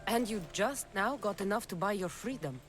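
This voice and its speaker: Woman playing a wry, lively mercenary heroine. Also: medium pitch, normal speed, faint music behind, close to an everyday voice. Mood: concerned. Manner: assertive, wry. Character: confident, wry mercenary heroine, blunt and bold. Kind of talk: video game cutscene acting.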